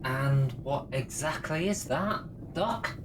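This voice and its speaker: A woman's voice: rumbling low, lively intonation, slow pace, music in the background, exaggerated voice. A woman playing a curious, teasing engineer companion in an audio drama, with a casual inquisitive manner, a little distant and noisy. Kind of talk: scripted audio drama acting.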